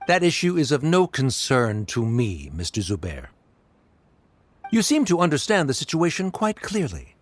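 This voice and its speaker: Snooty cold official, formal and curt, lots of pitch swing. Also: male voice, huge pitch swings, moderate pace. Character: cold, condescending middle-aged male academic official. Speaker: official delivering an order.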